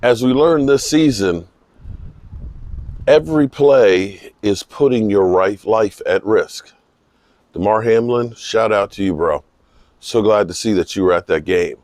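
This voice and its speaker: A man with a slightly hoarse voice giving unscripted, emphatic sports commentary, pausing mid-sentence for emphasis. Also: lowish voice, darkish tone, dry recording. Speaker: football vlogger giving post-game reaction. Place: outdoors in misty rain.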